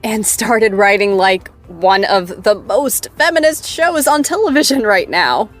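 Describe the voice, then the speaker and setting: A woman's voice: mid-range voice, expressive, a little slow, a bit bright, faint music behind. An actress playing a bubbly, teasing after-show host in a scripted audio drama, gushing and playful with her guest.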